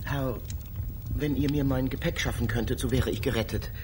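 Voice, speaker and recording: An actor playing a dreamy, fervent poet-inventor and space traveller in a literary radio drama, with a theatrical, poetic delivery. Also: male voice, medium pitch, steady tone, normal speed, noisy background, lightly acted. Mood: pleading.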